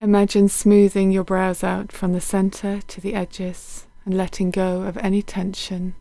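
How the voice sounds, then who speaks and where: A woman guiding a body scan meditation in a gentle, unhurried, soothing manner, letting her phrases end softly. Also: mid-range voice, monotone, no echo. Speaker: meditation guide.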